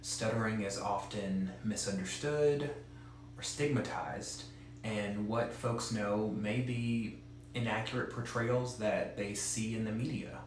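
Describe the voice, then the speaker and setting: A man's voice: lowish voice, slow pace, very echoey, a little hiss or hum. A man who stutters gives an earnest, direct instructional talk as a presenter for an advocacy group.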